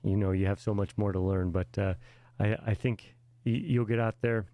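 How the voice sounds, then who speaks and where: A man's voice: fairly low pitch, steady tone, moderate pace, dark tone, no echo. A man interviewing students at a portfolio event, asking friendly questions and offering encouragement, sometimes restarting his sentences.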